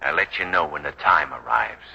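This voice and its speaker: An actor playing a tough, shrewd police detective in a 1940s radio drama, dry, sarcastic and needling. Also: male voice, rumbling low, animated, normal speed, creaky, sharp tone, breathy edge, exaggerated voice. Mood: gruff, sarcastic. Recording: dull vintage 1940s radio recording.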